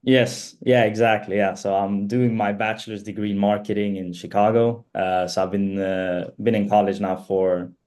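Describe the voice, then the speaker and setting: A man in his twenties from Sweden, chatting casually as an interview guest, relaxed and rambling with lots of fillers. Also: on the low side, moderate pace, hardly any pauses. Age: 20s. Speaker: guest, student and page owner.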